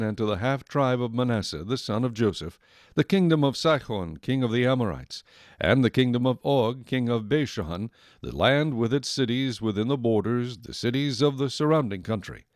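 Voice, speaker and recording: A man narrating a dramatized audio Bible in a solemn, measured storytelling manner. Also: mid-range voice, animated, no echo, clean studio sound. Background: music.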